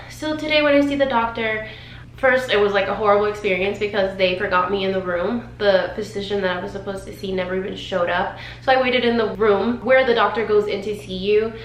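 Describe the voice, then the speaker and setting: A woman in her thirties talking candidly and emotionally in a personal vlog, rambling a little as she shares her feelings. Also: medium pitch. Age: 30s. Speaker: vlogger. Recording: roomy sound with faint noise.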